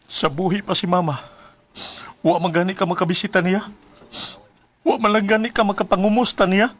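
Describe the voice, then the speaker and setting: A man's voice: sits high, steady tone, normal speed, a bit bright. An actor playing a grieving, emotional young man in a radio drama. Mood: bitter, angry. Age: young adult. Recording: music behind the voice.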